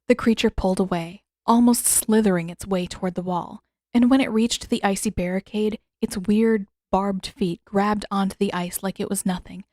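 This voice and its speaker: Female audiobook-style fiction reader, medium pitch, expressive scripted delivery, no room sound. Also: no room sound, studio quality. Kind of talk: expressive scripted story narration.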